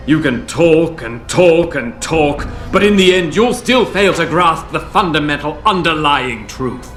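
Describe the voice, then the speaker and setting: An actor playing an aging male tech visionary in a video game, grave and measured, breaking into anguished shouts. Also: a little high, animated. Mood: scornful. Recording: roomy game audio with music.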